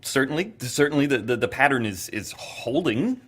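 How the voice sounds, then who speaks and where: A man's voice: medium pitch, sing-song, a bit bright, breathy edge. A man playing a nervous, stubborn scientist in an audio drama, stammering and flustered as he repeats himself. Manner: flustered, halting, repetitive. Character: nervous, defensive, stubborn male government-lab scientist.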